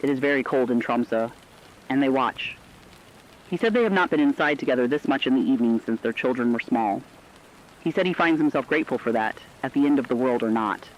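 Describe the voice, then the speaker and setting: A man's voice: medium pitch, a little fast, lightly acted. Dull-sounding, somber male actor as radio host. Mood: somber, reflective. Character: somber, reflective radio host relaying survivors' messages. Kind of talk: scripted intimate radio monologue.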